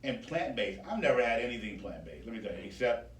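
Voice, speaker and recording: A man's voice: mid-range voice, very animated, quick pace. A man hosting a radio interview in casual, jokey, slangy conversation. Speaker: radio host. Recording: echoey room, distant mic, faint noise.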